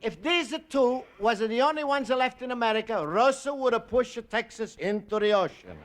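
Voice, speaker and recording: An actor playing a cheerful, naive Italian immigrant in a 1950s radio comedy, speaking accented broken English with extra vowels. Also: male voice, very high-pitched, normal speed. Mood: wistful, amused. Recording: noisy old radio recording with clicks.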